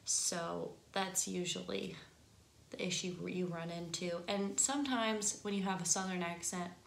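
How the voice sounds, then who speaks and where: A woman's voice: fairly low pitch, fairly even intonation, unhurried, creaky, slight background noise. A woman from the northern United States with an Upper Midwest accent and a nasal voice, chatting casually as a singing teacher and laughing easily. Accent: Upper Midwest American. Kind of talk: casual instructional talk to camera. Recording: echoey room.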